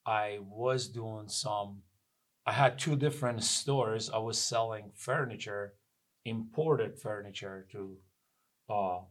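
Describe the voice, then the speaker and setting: A man from Iran with an Iranian accent, a plumber telling his story as a guest in a casual interview, pausing often to find his words. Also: lowish voice. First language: Persian. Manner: halting, searching for words.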